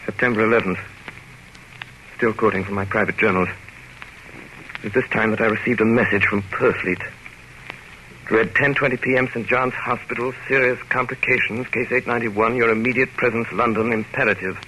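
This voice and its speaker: An actor playing an earnest, sombre gentleman diarist, measured and dramatic, in 1930s radio narration style. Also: on the low side, steady tone. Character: earnest, sombre gentleman reading from his private journal. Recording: noisy 1930s radio recording.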